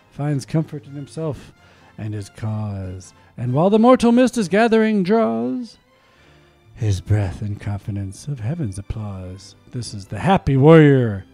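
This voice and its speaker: Mellow-voiced man reading poems dramatically. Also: lowish voice. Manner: theatrical, swells loud then drops to a whisper. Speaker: community radio host. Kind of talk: dramatic poetry reading on radio. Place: community radio studio. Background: faint instrumental music.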